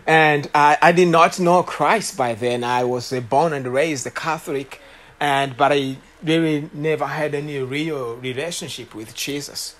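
A man in his late thirties from Rwanda, with a Rwandan accent, preaching as a guest speaker and telling his story with many pauses, fillers and restarts. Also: a little high, a bit bright. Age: late 30s. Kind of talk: sermon testimony.

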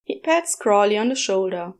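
A woman's voice: medium pitch, sing-song, moderate pace. A woman reading a story aloud as scripted audiobook-style narration. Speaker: podfic narrator. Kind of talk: scripted narration of fan fiction.

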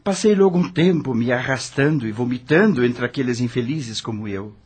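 Anguished, very husky dead rocker. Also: male voice, mid-range voice, animated, a little mellow. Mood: remorseful. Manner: dramatic, anguished, deliberate. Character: anguished dead male rock star recounting his suffering in hell. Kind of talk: radio drama narration in first person.

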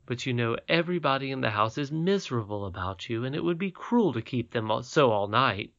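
A man reading aloud as a calm, wise and ancient great-great-grandmother in a storybook, speaking gently and steadily, in a dull lo-fi recording. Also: mid-range voice, fairly quick, creaky. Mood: tender.

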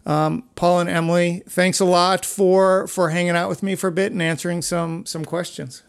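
A man hosting a casual interview podcast, phrasing things in a halting, thoughtful way. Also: sits high, little pitch movement, smooth. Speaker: podcast host.

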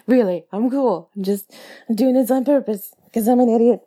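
A woman talking in an intimate, playful ASMR style close to the mic, soft and breathy, often slipping toward a whisper. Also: fairly high pitch, normal speed. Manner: giggly, playful, chatty. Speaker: audio performer addressing the listener. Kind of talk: intimate ASMR, rambling and affectionate.

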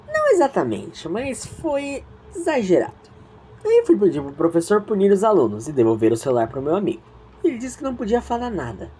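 A woman's voice: mid-range voice, wildly swooping pitch, brisk, warm tone, slight background noise, voice pushed up. A woman playing Victor, an indignant, sarcastic teenage schoolboy who argues mockingly, in an amateur audio drama. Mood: aggrieved. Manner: mocking, argumentative.

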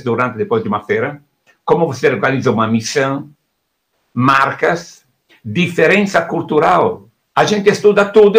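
Gravelly middle-aged man explaining at length. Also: medium pitch, fairly bright tone. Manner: didactic, lists topics in bursts. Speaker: author and export consultant. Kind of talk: explaining his book in an interview.

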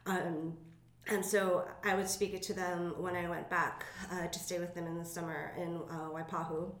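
A woman's voice: fairly low pitch, flat delivery, moderate pace, echoey room. A young woman from Hawaii chatting casually as an interview guest, with lots of fillers and a self-conscious manner. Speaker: guest, business co-owner.

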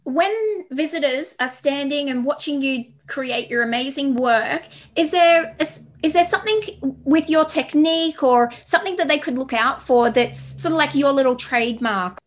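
A woman's voice: sits high, moderate pace, few pauses. A woman hosting a podcast interview, introducing her guest and putting questions to her.

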